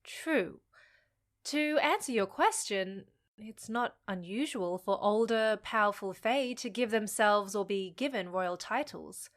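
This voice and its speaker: A woman's voice: mid-range voice, lively intonation, a little slow, lightly acted. An actress playing a frank, savvy young half-fae woman, teasing yet supportive, with a wry conversational manner in an audio drama. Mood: thoughtful.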